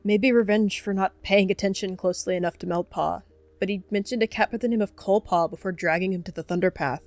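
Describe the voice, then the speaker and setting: A woman's voice: medium pitch, steady tone, a little fast, no echo, natural voice. A woman playing a frightened young apprentice cat, anxious and unsteady, in a roleplay audio drama. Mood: shaken fear. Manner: hushed, shaky, anxious. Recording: music behind.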